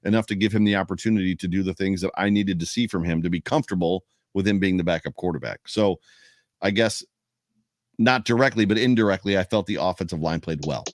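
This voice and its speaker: A man hosting a sports podcast, with a wry, teasing manner and drawn-out answers. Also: deep voice, dry recording, clean studio sound. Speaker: podcast host. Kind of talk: casual podcast chat.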